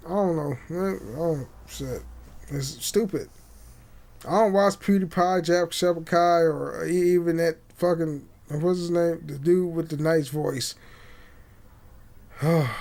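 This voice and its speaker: Young guy podcasting at home, kinda high voice, laid back rambly chat, swears a lot. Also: gravelly, darkish tone. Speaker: podcast host. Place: small home room indoors. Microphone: condenser mic on boom arm.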